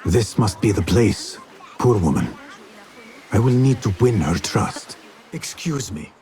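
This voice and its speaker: An actor voicing a stealthy assassin who speaks in a measured, quiet, resolute way. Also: male voice, lowish voice, animated. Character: stealthy hooded assassin, calm and determined.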